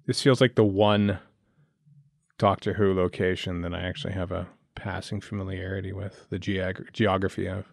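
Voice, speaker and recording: Low-voiced man in casual, close, dry sound. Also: flat delivery, normal speed, gravelly, no room sound. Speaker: podcast host. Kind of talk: casual chat, TV episode commentary.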